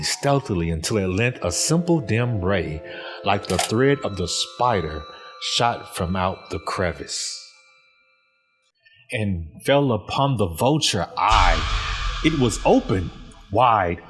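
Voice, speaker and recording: A man's voice: on the low side, sing-song, a little slow, music in the background. A man playing an obsessive, paranoid murderer in dramatic gothic horror narration, theatrical and emphatic, repeating his words. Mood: hushed suspense, then intense, obsessive. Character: mad, obsessive murderer confessing, paranoid and gleeful.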